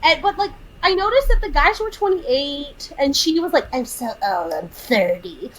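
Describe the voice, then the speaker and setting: A thirty-year-old woman co-hosting a podcast, recapping a film in casual chat, animated and exasperated as she acts out quotes. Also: high-pitched, normal speed, rough recording. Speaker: podcast co-host.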